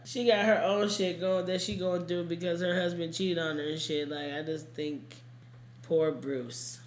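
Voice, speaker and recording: A woman's voice: lowish voice, fairly even intonation, moderate pace. A woman co-hosting a podcast, chatting casually about true crime in a blunt, colloquial way with plenty of swearing. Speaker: podcast co-host. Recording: echoey room.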